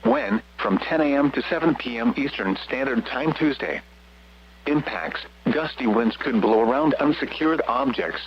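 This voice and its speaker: A man's voice: medium pitch, expressive, normal speed. A synthetic man's voice reads a scripted weather bulletin over the radio in formal, impersonal, clipped phrases. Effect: radio broadcast. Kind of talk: scripted marine forecast and advisory bulletin. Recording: muffled, noisy weather radio with hum.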